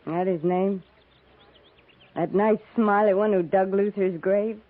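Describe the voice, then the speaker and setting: An actress playing a bitter, wounded, plainspoken frontier widow in a 1950s radio western, with folksy rustic phrasing. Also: female voice, mid-range voice, fairly even intonation, slow, natural voice. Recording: muffled, tinny 1950s radio recording.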